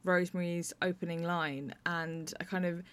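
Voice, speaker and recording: A woman's voice: lowish voice, little pitch movement, moderate pace, fairly bright tone, clean tone. A British woman hosting a podcast, talking conversationally about theatre writing as a playwright. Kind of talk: conversational interview and discussion.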